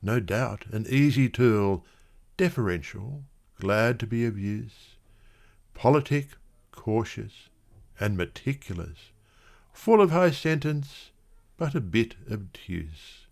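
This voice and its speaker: Slow, low-voiced man reciting poetry. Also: creaky. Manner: measured, expressive phrasing. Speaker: poetry reader. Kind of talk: dramatic literary poetry recitation.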